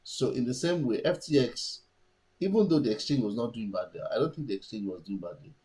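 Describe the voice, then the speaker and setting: A man's voice: mid-range voice, lively intonation, brisk, warm tone, breathy edge. A man whose first language is Yoruba, giving casual market commentary and thinking aloud with many restarts and fillers.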